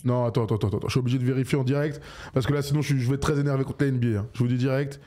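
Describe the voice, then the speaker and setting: A man hosting a basketball call-in show, talking quickly and getting worked up in jokey banter. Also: mid-range voice. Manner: excitable, rapid, jokey. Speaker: radio show host.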